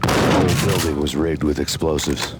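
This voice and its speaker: An actor playing a world-weary cynical cop who narrates in a hardboiled deadpan noir monologue. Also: male voice, a bass voice, brisk, very noisy. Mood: grim. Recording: game audio over loud music.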